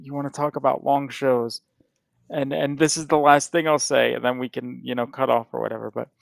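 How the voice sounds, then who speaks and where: A man's voice: medium pitch, steady tone, brisk, creaky, no echo. A man hosting a podcast, chatting casually in a rambling, profane way with frequent restarts. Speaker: podcast host. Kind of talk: casual chat.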